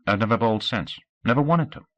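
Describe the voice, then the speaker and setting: A man's voice: on the low side, animated, fast, fairly bright tone, pitched above the performer's own voice. A man reading aloud, voicing an earnest teenage boy athlete who rambles and later cries.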